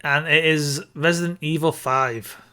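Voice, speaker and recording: A man's voice: fairly high pitch, steady tone, a little slow, pushed and bright. A man co-hosting a podcast, chatting casually about films and games. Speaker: podcast co-host.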